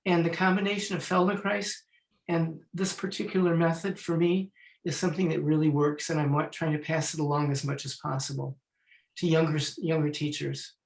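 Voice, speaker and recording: A man in his sixties, a Feldenkrais teacher and musician, chatting in an online panel, telling stories and clearing his throat now and then. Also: fairly high pitch, little pitch movement, brisk, echoey room, rough recording. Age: 60s. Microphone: video call.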